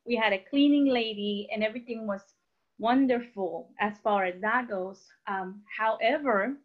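A woman's voice: a little high, normal speed. A woman in her thirties from Costa Rica telling her life story as a personal testimony over a video call. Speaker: guest sharing her testimony. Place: room at home, indoors. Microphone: computer mic on a video call. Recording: dull, lo-fi video call audio.

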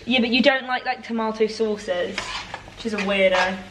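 A woman's voice: on the high side, moderate pace, heavy background noise. A British woman in her twenties chatting casually and playfully as she vlogs her cooking, with bantering slang. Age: 20s. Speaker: vlogger. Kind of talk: casual cooking vlog chat. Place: home kitchen, indoors. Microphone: camera on the counter. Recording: echoey kitchen with clattering dishes.

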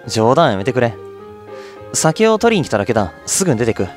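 A gruff loner from a visual novel, aloof and blunt, acted by a man. He speaks in terse phrases with moving intonation. Faint music plays behind. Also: mid-range voice, sing-song, a little fast, close to an everyday voice. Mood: dismissive. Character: gruff, aloof man who keeps to himself.

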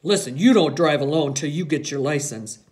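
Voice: mid-range voice, normal speed, darkish tone, close to an everyday voice. A narrator voicing a jovial but commanding naval officer father with a big, hearty manner in an audiobook. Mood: stern, commanding. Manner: big-voiced, hearty, authoritative.

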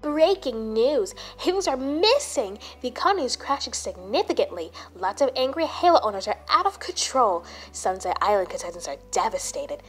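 A woman playing a brisk, showy news reporter in an amateur animated film. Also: a little high, slightly rough, soft background music. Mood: dramatic announcing. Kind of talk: scripted news parody in amateur drama.

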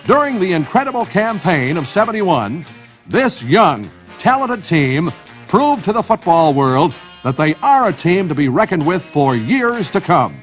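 Animated American male sports documentary narrator. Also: high voice. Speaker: highlight film narrator. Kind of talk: scripted dramatic sports narration. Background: music.

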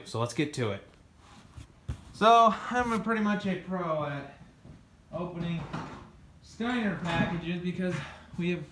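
Young guy vlogging in his farm shop, laid back, lots of background noise. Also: on the high side. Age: young adult. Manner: easygoing, talks to viewers while handling parts. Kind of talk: casual unboxing and shop talk. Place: farm workshop with tractors indoors. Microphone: phone camera held close.

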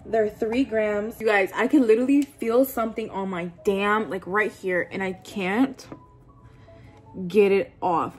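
A woman's voice: mid-range voice, darkish tone. Chatty young woman in her twenties. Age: 20s. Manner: chatty, candid, swears often, lots of "like". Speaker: vlogger. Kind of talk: casual get-ready-with-me chat. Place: bedroom, indoors. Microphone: camera-facing, doing makeup at a desk.

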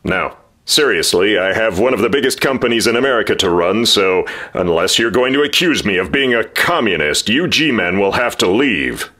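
A man's voice: lowish voice, animated, normal speed, pushed and bright. An actor playing a blustering, impatient company chief in his fifties in a 1950s-style radio drama. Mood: boastful. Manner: blustering, boastful, brusque. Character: brash, impatient corporate boss in his fifties.

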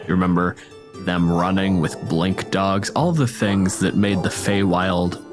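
Male game master, fairly deep, dramatic descriptive narration, music playing underneath. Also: measured pace. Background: background music.

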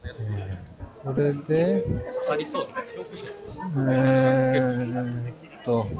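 A man murmuring casual, fragmentary walking commentary as a vlog guide, talking partly to himself. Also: mid-range voice. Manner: halting, fragmentary, muttering names to himself. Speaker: vlog host, art fair guide. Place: large busy indoor art fair hall. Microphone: handheld action camera at arm's length, masked. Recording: muffled, crowded exhibition hall noise. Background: crowd chatter.

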